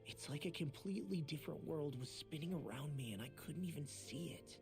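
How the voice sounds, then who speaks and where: A man's voice: fairly high pitch, brisk, vocal fry. An actor playing an earnest, self-doubting young male fighter in a video game, speaking in a casual, sincere way. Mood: troubled, candid. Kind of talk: video game English voice acting. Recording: music behind the voice. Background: game music.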